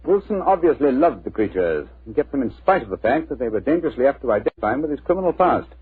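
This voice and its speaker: A confident English detective in a forties radio mystery. He is incisive and fast, with a very raspy voice, on a dull old recording with some music behind. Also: medium pitch, very animated, no room sound. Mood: pondering. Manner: clipped, incisive, authoritative. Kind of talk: 1940s radio drama acting.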